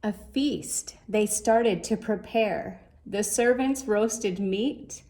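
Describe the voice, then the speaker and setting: A woman reading a rhyming children's story aloud in a measured, expressive way. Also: mid-range voice. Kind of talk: scripted storybook narration in rhyme.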